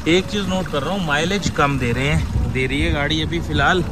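A man's voice: on the high side, fairly quick. A man talking casually and informally as a travel vlogger on a road trip. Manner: chatty, informal, colloquial. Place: in a car and at roadside stops. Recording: loud music under speech, vehicle noise. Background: loud music, car sounds.

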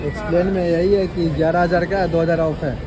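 A man's voice: fairly high pitch, level tone, fairly quick. A young man tags along on a friend's mall vlog, joking and asking questions in casual chat. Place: large indoor shopping mall. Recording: crowded mall on a phone. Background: crowd chatter.

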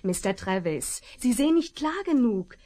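A young actress playing a frightened but kind rancher's daughter in a Western radio play, pleading and anxious. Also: female voice, fairly high pitch, very animated, normal speed, mellow. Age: young adult. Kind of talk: 1970s German radio play acting.

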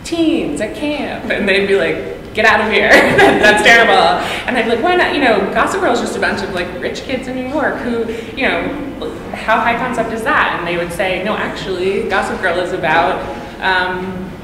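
Low voiced woman chatting as moderator, lots of likes and ums, long reverb, noisy room. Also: expressive, moderate pace. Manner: chatty, lots of "like" and "um", acts out quoted exchanges. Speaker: panel moderator. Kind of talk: casual panel talk. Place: large hall, seated at a panel table. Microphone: table microphone. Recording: echoey hall with background noise.

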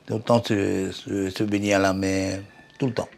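An older man, chatting casually indoors in short, quiet answers. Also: low voice, level tone, normal speed, gravelly. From French Polynesia. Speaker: interviewee. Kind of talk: casual chat. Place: indoors in a home living room.

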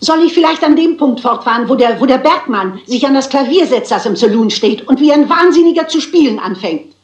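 Elderly spinster sleuth: highish, prim, articulate, stagey, roomy dub sound. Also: female voice, expressive, a little fast. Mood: eager, polite. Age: older. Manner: theatrical, articulate, dignified. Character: prim, plucky elderly spinster amateur sleuth auditioning as actress. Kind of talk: German dubbed crime drama acting.

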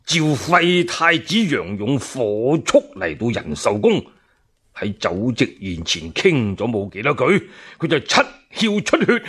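Indignant old minister, acted by a man, radio drama, husky, slow, declamatory, stammering with outrage. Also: on the high side, sharp tone, full character voice. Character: indignant old loyal minister, righteous and accusing. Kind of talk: one-man Cantonese radio storytelling drama.

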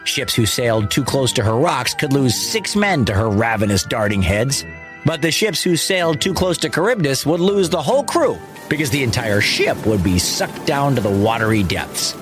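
A man's voice: mid-range voice, big pitch swings, moderate pace, music in the background. A man narrating a scripted myth for children in a dramatic, playful manner. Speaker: storyteller, host.

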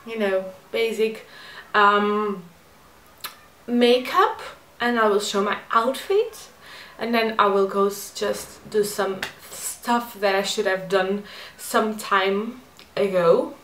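A woman's voice: mid-range voice, slow pace, a bit bright, reverberant, recorded from a distance. A woman in her twenties with a Portuguese accent, chatting in a rambling, self-mocking way while doing her makeup in her bedroom. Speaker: beauty vlogger. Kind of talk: chatty get-ready-with-me vlog. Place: bedroom at home.

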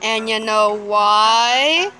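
A woman with a high, bright voice acts out cartoon characters in an amateur comic dub. Her delivery is slow, and music plays under the voice. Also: poor audio.

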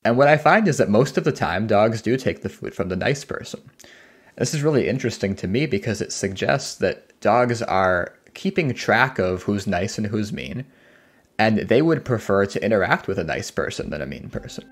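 A man speaking as a scientist guest, explaining research step by step in a measured way. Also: on the low side, lively intonation, husky. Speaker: dog cognition scientist, guest. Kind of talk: explanatory interview talk. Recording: guitar music behind the voice.

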